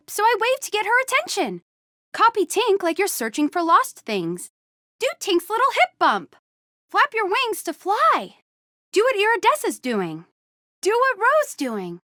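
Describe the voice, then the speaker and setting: A woman's voice: very high-pitched, bright, forceful tone, dry recording, studio quality, full character voice. A woman voicing a playful, exuberant young animal fairy for a video game, encouraging and eager to tell stories. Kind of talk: animated video game character dialogue, storytelling and instructions.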